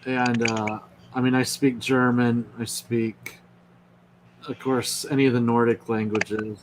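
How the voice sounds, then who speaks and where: A man who is a guest artist, chatting casually on a livestream in a friendly, halting way. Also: medium pitch, little pitch movement, background noise.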